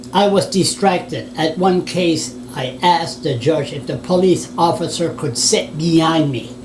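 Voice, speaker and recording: Canadian guy reading out his complaint, fed up, noisy recording. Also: on the high side. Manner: indignant, plain-spoken, with asides and restarts. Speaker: blogger. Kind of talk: reading a written complaint aloud.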